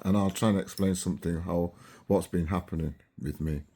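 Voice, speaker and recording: A man's voice: low voice, steady tone, fairly quick, mellow, slightly rough. A man in his seventies, originally from Barbados, talking casually to camera and often checking in with his viewers. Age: 70s. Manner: chatty, keeps checking in with listeners. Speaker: vlogger.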